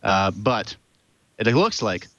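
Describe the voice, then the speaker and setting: A man's voice: on the low side, very animated, slow pace, a bit bright, no echo, muffled recording. A man co-hosting a podcast who talks in a playful, self-deprecating way. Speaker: podcast co-host.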